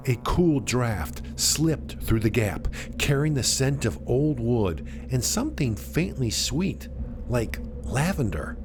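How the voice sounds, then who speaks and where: Male narrator for suspense fiction: mid-pitched, slow and measured, dramatic pauses, widely varied intonation. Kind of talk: dramatic scripted story narration. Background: music.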